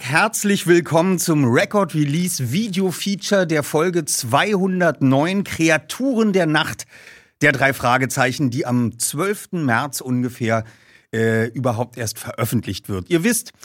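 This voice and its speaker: Higher-voiced man hosting, close dry sound. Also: expressive, measured pace, a bit bright, dry recording. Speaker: host. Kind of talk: scripted ad read and casual show presenting.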